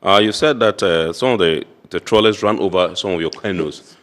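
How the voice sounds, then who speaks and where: Guy hosting, very deep voice, calm probing questions. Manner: measured and probing, pushing back on the guest. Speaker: show host. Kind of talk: studio interview.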